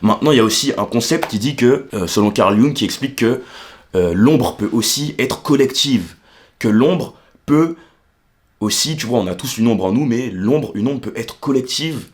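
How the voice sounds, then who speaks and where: A man's voice: mid-range voice, expressive, moderate pace. A young man from Martinique, explaining ideas in a casual, colloquial monologue and often checking that the listener follows. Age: young adult. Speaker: vlogger explaining psychology.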